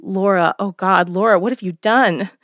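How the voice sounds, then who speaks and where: A woman voicing a remorseful middle-aged mother whose pleading apology rises into anguished cries, her lines lifted in pitch and loudness. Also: moderate pace, dry recording, muffled recording, lightly acted. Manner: tearful, pleading, rising to anguish.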